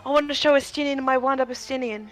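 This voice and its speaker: A woman's voice: high voice, steady tone, fast. A woman co-hosting a game stream, chatting casually about the story and its characters. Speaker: co-host commenting on the stream. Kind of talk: casual game commentary chat. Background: game music.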